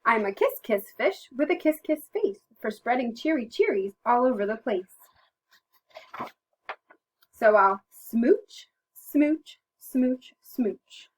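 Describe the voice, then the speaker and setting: A woman's voice: mid-range voice, a little slow, a little hiss or hum, natural voice. A woman voicing a glum, pouting male fish who chants a sulky rhyming refrain in a read-aloud storybook. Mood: cheerful.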